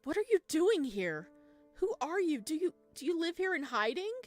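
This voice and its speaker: A frightened resident of a horror visual novel, played by a woman, lashes out in a high, loud voice. Panic and defiance drive every demand, with music behind. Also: big pitch swings, moderate pace. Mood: panicked anger. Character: frightened, defiant resident confronting a home intruder.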